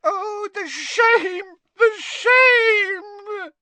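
A performer voicing a small, fiercely loyal female house-elf servant from a storybook, squeaky and overwrought, speaking in broken grammar. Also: lively intonation, a little slow, pushed and bright, dry recording, heavily acted. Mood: wailing, distraught. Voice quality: squeaky, shrill. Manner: third-person broken grammar, wailing.

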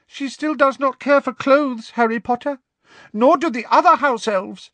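A man voicing a small, eager and humble male house elf with a squeaky voice, in a storybook reading. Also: moderate pace, a bit bright, no room sound. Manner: eager, humble, speaks of himself in third person.

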